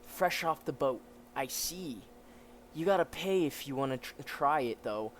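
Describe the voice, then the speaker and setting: A man plays a cheeky New York hustler from a comic, all slang and mockery. The voice is slightly high, over faint noise. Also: lively intonation, a little slow. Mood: cocky, teasing. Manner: slangy, taunting.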